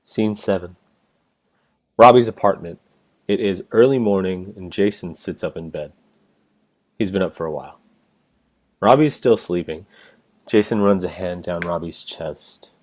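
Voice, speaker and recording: A man's voice: deep voice, measured pace, long pauses, a little mellow. A man reading out stage directions as scripted narration for a play.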